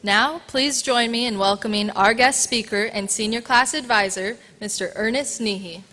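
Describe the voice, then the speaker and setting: A woman giving a formal, measured introduction at a graduation ceremony. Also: fairly high pitch, bright, forceful tone. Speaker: ceremony announcer. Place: large hall. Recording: distant voice in reverberant hall, faint noise.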